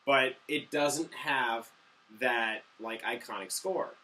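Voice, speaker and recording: Bright-voiced, blunt man, slightly off mic. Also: mid-range voice, slow pace, far from the mic, poor audio. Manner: blunt, argumentative. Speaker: movie review show co-host. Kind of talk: casual, opinionated review banter.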